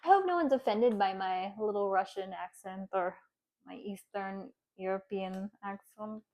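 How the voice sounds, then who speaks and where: A woman talks through a tarot reading in a gentle, consoling way. She drifts into pauses and fillers as she talks. Her voice sits at an everyday middle pitch. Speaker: tarot card reader. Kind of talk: casual tarot reading to camera.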